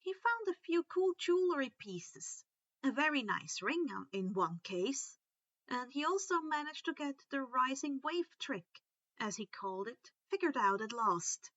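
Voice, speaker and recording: A woman who is not a native English speaker, reading stories aloud in an expressive, informal way. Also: high-pitched, unhurried, no echo, muffled recording. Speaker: fan fiction reader, host. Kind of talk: expressive amateur story narration, chatty outro.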